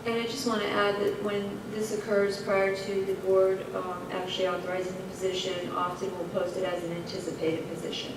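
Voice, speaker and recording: Flat-voiced woman, far in an echoey room. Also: mid-range voice, noisy background. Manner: measured, with frequent "um"s. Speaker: district administrator introducing a speaker. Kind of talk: formal meeting remarks. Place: school district meeting room.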